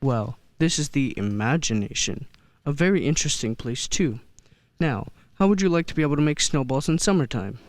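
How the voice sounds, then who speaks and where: An actor playing a kindly old Santa figure in a radio play, gentle and hesitant, often repeating words. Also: medium pitch, animated, a little fast, no room sound, natural voice. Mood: playful, kindly. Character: kindly old man who believes he is Santa. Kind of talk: stage-style radio play acting.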